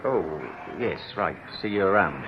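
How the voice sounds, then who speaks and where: Blunt, lively old-hand clerk. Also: male voice, deep voice, a little slow. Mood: matey. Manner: blunt, matey, colloquial. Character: cheery, grumbling long-serving bank clerk, middle-aged. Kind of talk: British radio drama acting. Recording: dull, noisy radio recording.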